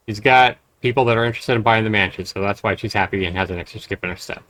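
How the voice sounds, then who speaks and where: A male game streamer with a fairly low voice, recorded dry and close. He reads story text aloud and breaks off into blunt, sweary, casual commentary. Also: fairly bright tone, dry recording, low-quality recording. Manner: blunt, profane.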